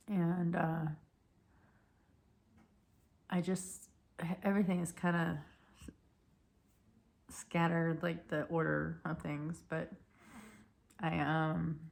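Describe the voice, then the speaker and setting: Woman, 30s, hoarse voice cracking, low flat delivery, halting casual vlog storytelling. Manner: rambling, halting, many restarts and fillers. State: losing her voice, cracking. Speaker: vlogger.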